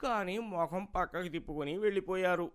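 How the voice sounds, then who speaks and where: A man voicing a sly, greedy, smooth-talking vegetable seller in a cartoon moral story, with wheedling, folksy street-vendor talk. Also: sits high, brisk, close to an everyday voice. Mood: slyly apologetic.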